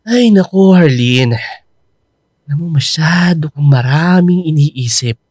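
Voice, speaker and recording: An actor playing an earnest young Filipino boyfriend in a radio drama, coaxing and reassuring with some exasperation. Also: male voice, on the high side, animated, measured pace, a little mellow, no room sound, clean studio sound. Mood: exasperated. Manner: pleading, coaxing.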